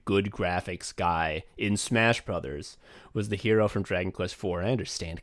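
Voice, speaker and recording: Rambling, excitable young man swearing casually. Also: fairly low pitch. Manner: rambling, profane, excitable, laughs often. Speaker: podcast co-host and writer. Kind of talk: casual chat.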